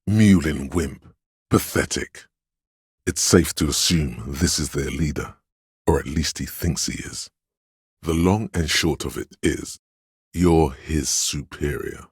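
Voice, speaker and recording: Commanding game narrator, stern, grave, theatrical, very husky rasp, dry close sound. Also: male voice, extremely low pitch, no room sound, heavily acted. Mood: contemptuous. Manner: grave, imperious, deliberate, theatrical. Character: commanding, stern male inner voice of authority. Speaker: voice actor. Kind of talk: dramatic scripted game narration.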